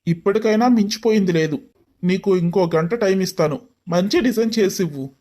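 A man's voice: sits high, a little fast, mellow, close to an everyday voice. A man playing an irritated, taunting young businessman in a dramatised story, scolding and mixing in English words.

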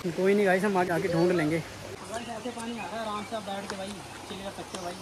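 High-pitched North Indian man vlogging outdoors. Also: fairly even intonation, quick pace, crowd noise. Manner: colloquial, exclamatory, addresses viewers often. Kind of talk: casual travel vlog narration to viewers. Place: outdoors, much of it on a moving motorbike. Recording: noisy outdoor action camera, vehicle and street noise.

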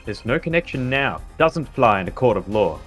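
A man's voice: medium pitch, sing-song, normal speed, fairly bright tone, faint music behind. A man voicing an earnest, determined young male lawyer in a pointed, declamatory way, in a fan dub of a courtroom game. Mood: pressing.

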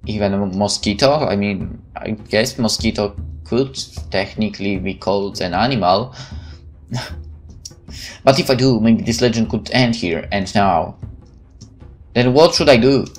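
A man's voice: on the low side, unhurried, faint music behind. Man reading a visual novel aloud. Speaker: gameplay streamer.

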